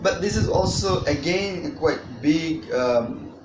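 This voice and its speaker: Adult storyteller, hesitant and wordy, casual delivery to a child, distant mic with clear noise. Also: mid-range voice, animated. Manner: hesitant, wordy, frequent long pauses. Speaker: storyteller guest reading to a child. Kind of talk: casual unscripted introduction to storytelling. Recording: echoey room, distant mic, noisy.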